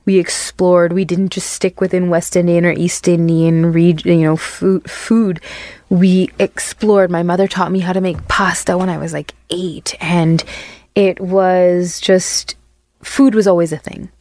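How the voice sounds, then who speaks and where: Young woman from Barbados, guest chef, chatty and giggly, lowish voice, dry close mic. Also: steady tone, unhurried, dark tone, no echo. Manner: chatty, laughs often, lots of "like" fillers. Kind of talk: casual interview chat.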